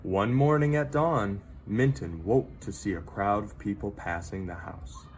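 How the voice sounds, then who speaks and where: A man reads a children's story aloud in an expressive, dramatic storyteller manner, pausing for effect. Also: lowish voice, a little hiss or hum. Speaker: teacher reading a picture book aloud.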